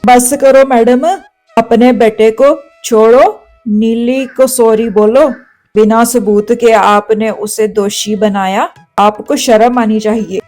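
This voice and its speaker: A woman's voice: a little high, steady tone, slow, darkish tone, soft background music, voice pushed down. A woman voicing a stern, authoritative police officer bird in a cartoon story, questioning in a firm manner. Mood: reproving. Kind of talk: Hindi cartoon story dialogue.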